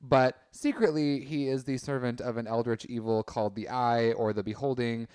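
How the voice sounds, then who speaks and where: A man's voice: mid-range voice, steady tone, normal speed, a little mellow, smooth, no room sound, clean studio sound. A man chatting casually as a podcast panelist, with a slangy, campy way of talking. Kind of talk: casual chat.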